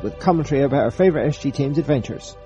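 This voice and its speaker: A man's voice: mid-range voice, animated, very fast, dark tone, lo-fi sound. A British man reading a scripted podcast promo as one of its hosts. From Britain. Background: music.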